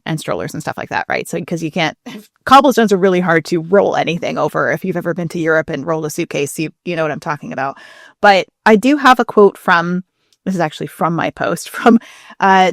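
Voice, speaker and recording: A woman's voice: fairly low pitch, no echo. Casual woman co-host, dry close sound. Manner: animated, emphatic, informal. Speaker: podcast co-host. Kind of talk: casual, chatty explainer.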